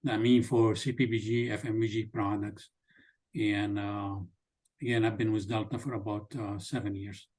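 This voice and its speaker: A man's voice: fairly low pitch, level tone, normal speed, darkish tone, husky. A man who is a sales director, giving a casual self-introduction on a video call. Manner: casual, repeats himself.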